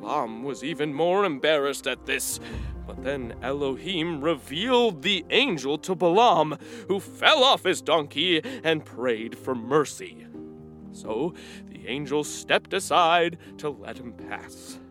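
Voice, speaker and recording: A man's voice: a little high, takes it slowly, faint music behind. A man playing a chatty, earnest talking donkey who tells stories in a folksy way in a children's audio drama. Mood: animated storytelling. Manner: animated, folksy storytelling.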